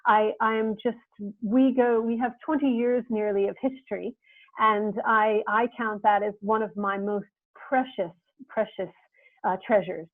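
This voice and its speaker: A woman's voice: mid-range voice, steady tone, unhurried, dry recording. A woman hosting a video-call interview, earnest and reflective, speaking in long winding thoughts. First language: English. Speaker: interviewer and host. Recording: dry tinny phone-like video-call audio.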